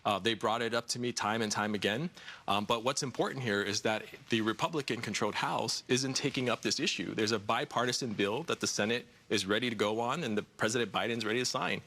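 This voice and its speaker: A man's voice: on the low side, fairly quick, sharp tone. A man in his forties, a candidate answering questions in a TV news studio, earnest, with frequent fillers and repeated words. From United States. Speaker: congressional candidate. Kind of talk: TV studio interview.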